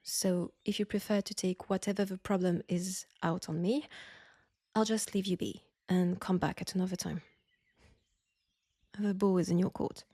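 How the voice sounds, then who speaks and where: Soft, mellow French nurse, acted by a woman. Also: lowish voice, fairly even intonation, normal speed, no room sound. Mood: firm, exasperated. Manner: soft, breathy, gently coaxing. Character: caring, firm young French WWI army nurse. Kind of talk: intimate roleplay audio acting.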